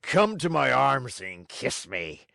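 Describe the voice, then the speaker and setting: Gruff bearded male showman, as a man puts him on, hoarse and booming, bad-tempered yet soft underneath; slow, dragging delivery in a dry, close recording. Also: very animated, no room sound, exaggerated voice.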